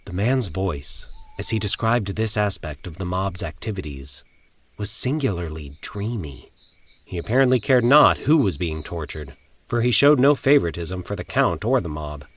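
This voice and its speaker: A man's voice: sits low, animated, moderate pace. A man narrating a scripted first-person horror story in a formal, dramatic manner.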